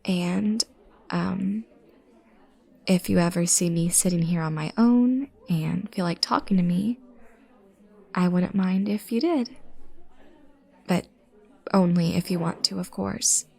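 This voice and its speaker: Shy young female stranger played by an actress, audio roleplay: unhurried, crackly fry, hesitant, sweetly awkward overthinker. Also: fairly low pitch, heavily acted. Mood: bashful, grateful. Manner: hesitant, self-conscious, soft-spoken rambling. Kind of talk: intimate roleplay audio drama, rambling naturalistic chat.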